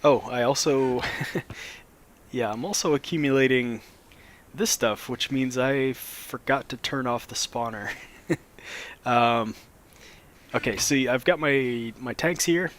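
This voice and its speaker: A man, a little hoarse after a cold, casually explaining a modded game as he plays, with plenty of ums and restarts. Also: medium pitch, takes it slowly, pauses often. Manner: relaxed, rambling, lots of fillers. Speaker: Minecraft let's play host.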